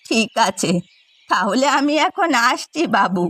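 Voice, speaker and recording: High-pitched stern old grandmother. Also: sing-song, unhurried, fairly bright tone, breathy edge, no room sound, exaggerated voice. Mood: resigned. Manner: scolding, reproachful. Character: stern elderly grandmother who runs a meat shop. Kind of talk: Bengali fairy-tale cartoon dubbing.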